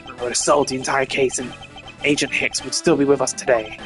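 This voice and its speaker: A man's voice: medium pitch, little pitch movement, fairly quick, fairly bright tone, faint music behind, lightly acted. A man voicing a brash, proud, scornful Interpol agent in a video game, with a swaggering, slangy, confrontational manner.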